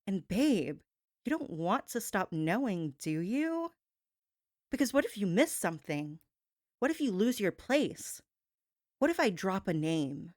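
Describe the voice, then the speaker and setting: A woman's voice: mid-range voice, sing-song, a little mellow, dry recording, clean studio sound. A woman delivering a performed gossip-show monologue as a host, sassy and theatrical, leaning on words for dramatic emphasis. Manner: sassy, theatrical, drawn-out emphasis, addresses listener as babe.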